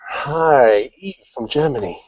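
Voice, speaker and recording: A man from the UK, an artist, joining a casual group chat in a brisk, friendly way. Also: mid-range voice, slightly rough, no echo, highs cut off.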